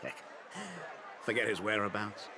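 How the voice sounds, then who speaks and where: A man's voice: lowish voice, fairly even intonation, measured pace, bright, forceful tone. An actor voicing a sardonic, gossipy male security worker with formal airs in a video game. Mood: conspiratorial. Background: game music.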